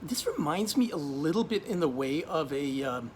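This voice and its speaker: A middle-aged man from Austria, casually presenting a wine in a relaxed, chatty way, full of ums and restarts. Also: a little high, moderate pace, slight background noise. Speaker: wine shop buyer. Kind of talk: casual wine tasting video.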